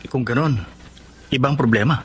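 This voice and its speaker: An actor with a Filipino accent playing a teasing, inquisitive workmate in a radio drama. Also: male voice, mid-range voice, moderate pace, a bit bright, husky. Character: nosy, joking male workmate. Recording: faint background noise.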